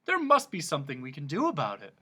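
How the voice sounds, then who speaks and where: A blustering, gloomy king with a high, bright, booming voice, as a storyteller puts him on. He barks royal commands, then sinks back into sad grumbling, in a storybook reading. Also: huge pitch swings, quick pace, breathy edge, exaggerated voice. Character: gloomy, blustering middle-aged male king.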